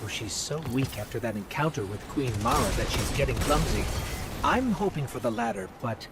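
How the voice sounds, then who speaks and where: A man's voice: mid-range voice, animated, a little fast, very noisy, close to an everyday voice. A man voicing a small floating robot companion in a video game, earnest, anxious and chatty. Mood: focused, wary. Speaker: companion guide to the player.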